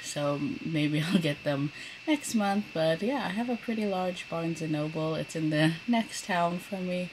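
A woman's voice: on the low side, measured pace, background noise. A woman in her thirties chatting casually as a vlogger, in an informal, rambling way. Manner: chatty, informal, frequent use of "like". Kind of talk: casual chatty vlog talk.

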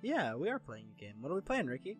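A man's voice: fairly high pitch, wildly swooping pitch, fast talker, husky, faint music behind. A man hosting a let's play, bantering and joking casually with friends. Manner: jokey, bantering. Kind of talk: casual gaming chat.

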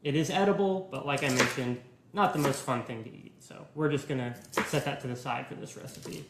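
A young man in his twenties giving a relaxed, step-by-step live cooking demonstration in a home kitchen, filmed by a camera at a distance. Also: mid-range voice, a little fast, vocal fry, echoey room, heavy background noise. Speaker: cooking instructor.

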